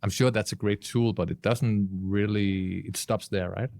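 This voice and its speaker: Deeper-voiced man giving podcast opinions. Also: fairly even intonation, moderate pace, a little mellow, no room sound, clean studio sound. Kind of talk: conversational podcast discussion.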